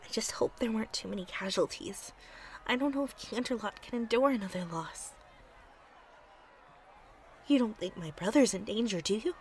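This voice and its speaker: A woman's voice: medium pitch, moderate pace, pauses often, darkish tone, a little hiss or hum, close to an everyday voice. A woman playing an anxious, kind young pony aide in a radio drama, earnest and easily flustered. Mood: worried. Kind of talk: fan radio drama acting.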